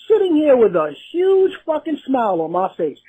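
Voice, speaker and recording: A woman's voice: sits high, very animated, moderate pace, slightly rough. A woman caller ranting casually and tauntingly, heard on a lo-fi voicemail recording. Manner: taunting, profane. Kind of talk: confrontational, casual rant. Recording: dull lo-fi voicemail playback.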